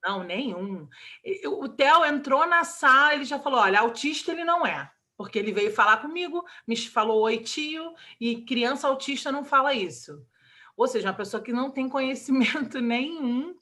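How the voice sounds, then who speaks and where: A woman in her thirties with a Brazilian Portuguese accent, an interview guest talking about her child, emotional and halting, with many restarts. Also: fairly high pitch, animated, sharp tone. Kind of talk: candid personal interview.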